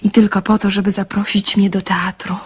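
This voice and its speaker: An actress playing a shy, honest young woman in a radio drama, soft and confiding. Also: medium pitch, little pitch movement, brisk. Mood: wistful confiding. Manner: soft, breathy, hushed confiding.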